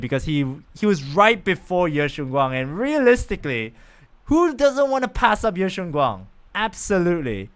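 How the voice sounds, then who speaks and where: Lively, smooth-voiced male streamer. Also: fairly high pitch. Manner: rambling, chatty, talks to viewers. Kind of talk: casual gameplay chat.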